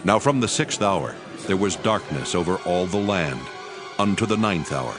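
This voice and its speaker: Low-voiced solemn male scripture narrator. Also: very animated, normal speed, over music. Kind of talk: scripted dramatized Bible narration.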